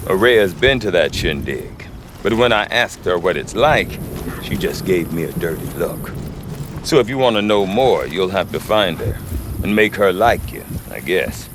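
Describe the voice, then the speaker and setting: A chatty middle-aged game trader with a low voice that swings widely. He talks in wry, folksy salesman's patter, fumbling for the tactful word. Steady ambient noise sits behind him. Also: measured pace. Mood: wry, confiding. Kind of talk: video game character acting.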